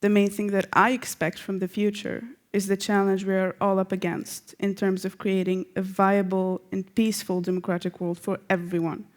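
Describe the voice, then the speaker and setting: A woman's voice: medium pitch, flat delivery, normal speed, few pauses. A young woman giving a prepared personal statement as a youth speaker. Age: young adult.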